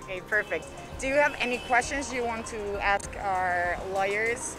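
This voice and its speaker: A woman's voice: on the high side, measured pace, poor audio. A female street reporter interviewing passersby and asking questions in an upbeat way in two languages. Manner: upbeat, switches between Spanish and English when asking questions. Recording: music playing underneath.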